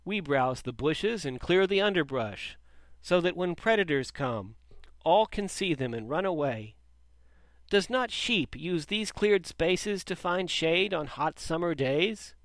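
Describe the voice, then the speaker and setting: A man voicing a gentle, sad antelope and goat who reason calmly. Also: on the high side, unhurried, no echo, pitched above the performer's own voice. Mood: pleading.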